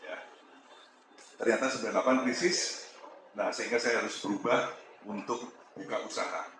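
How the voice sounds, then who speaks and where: A man's voice: lowish voice, normal speed. A man in his fifties from Malang with a Javanese accent, candidly telling the story of his business to an audience with some chuckles. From Malang, East Java. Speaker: business owner giving a talk. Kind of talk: motivational talk to an audience. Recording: echoey room with background noise.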